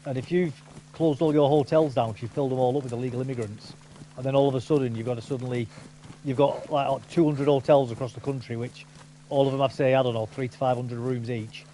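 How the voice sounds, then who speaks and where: A man's voice: mid-range voice, steady tone, fast, dark tone, a little hiss or hum. A man chatting casually with a friend while gaming, rambling and opinionated with many restarts. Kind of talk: casual chat over gameplay.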